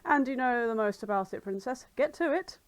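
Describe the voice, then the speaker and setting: A woman's voice: a little high, expressive, a little fast, lightly acted. A woman voicing a blunt, teasing female inventor who orders heroes about, in a fantasy drama reading. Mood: breezy, bossy.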